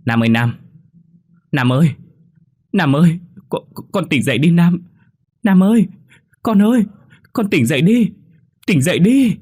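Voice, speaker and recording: A man's voice: fairly high pitch, animated, measured pace, pauses often, a little mellow, breathy edge, voice pushed up. A man voicing a young mother who wails and pleads in grief over her child. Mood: wailing. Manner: wailing, pleading, sobbing cries.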